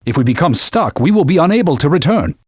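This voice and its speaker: Bossy talking sword, stuffy and formal, bit husky, jumps way up high when panicked, game voice clips. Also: male voice, sing-song, quick pace, no room sound, clean studio sound. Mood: stern. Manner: pompous, formal and scolding, turns shrill when alarmed. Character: haughty talking male sacred sword, stern mentor. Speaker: sidekick guide. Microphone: dry studio mic.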